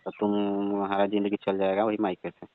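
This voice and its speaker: Man acting as bossy elderly father-in-law, low voice, flat intonation, badgering and dismissive, staged phone skit, dull narrow phone sound. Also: fast, dry recording, natural voice. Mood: challenging. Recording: dull, band-limited phone call recording.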